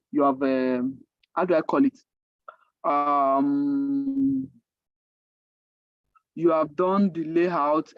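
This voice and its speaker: A man with a Nigerian accent and Yoruba as his native language, mentoring a class in an informal, halting way, repeating himself and asking rhetorical questions. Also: a little high, dry recording, highs cut off. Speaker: coding mentor. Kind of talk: informal online teaching.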